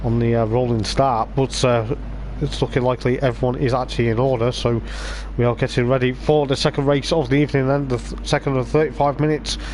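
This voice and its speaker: A man with a voice going hoarse, giving rambling live race commentary on a stream, with lots of hesitant fillers. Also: mid-range voice, flat delivery, fairly quick, a little mellow, slight background noise. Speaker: sim racing league commentator.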